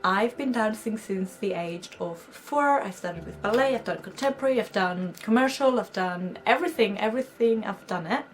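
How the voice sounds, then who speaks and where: A woman's voice: mid-range voice, normal speed, few pauses. A young woman from Greece with a Greek accent, telling her story in a chatty, giggly vlog to camera. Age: young adult. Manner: chatty, giggly, animated quoting of herself. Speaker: vlogger. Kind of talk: casual storytime chat to camera.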